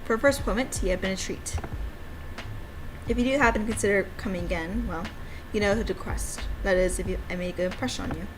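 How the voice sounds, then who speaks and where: A woman voicing a courteous, wistful male visual-novel character in a formal, measured, hesitant way. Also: mid-range voice, noisy background, lightly acted. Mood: courteous, reserved.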